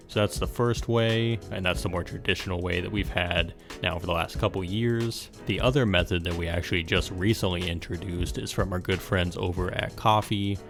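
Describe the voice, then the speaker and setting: A man with a low voice hosts a podcast in a casual, conversational style. Faint music plays behind him as he talks. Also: a bit bright. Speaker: podcast host. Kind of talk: casual podcast interview and listener appeal.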